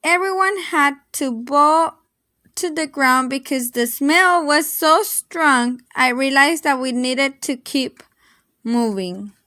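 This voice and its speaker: Woman, children's read-aloud, high voice, bright tone, dry close sound, dramatic storytelling. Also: slow pace, no room sound. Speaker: storybook reader.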